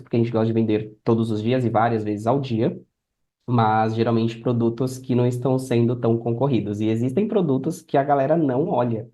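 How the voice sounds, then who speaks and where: A man's voice: medium pitch, dark tone. A young Brazilian man speaking as a podcast guest, explaining and telling anecdotes in a conversational way. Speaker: podcast guest, affiliate marketer. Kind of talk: conversational interview answers.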